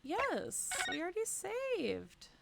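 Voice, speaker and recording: A woman hosting a casual game livestream in a playful, expressive way, sounding a little sniffly. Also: very high-pitched, slow, gravelly, slight background noise. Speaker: game streamer and host. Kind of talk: casual livestream chat while playing a game.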